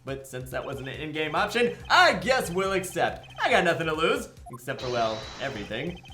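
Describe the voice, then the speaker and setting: A man's voice: a little high, big pitch swings, normal speed, bright, forceful tone, echoey room, soft background music. A man voicing a sarcastic, flustered young defense attorney in a comic video game fandub. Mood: worried. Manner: sarcastic, wisecracking.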